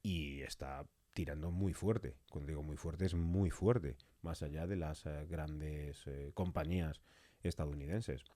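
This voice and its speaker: Deep, mellow-voiced man from a podcast interview. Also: steady tone, unhurried, dry recording. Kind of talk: conversational interview with long reflective questions.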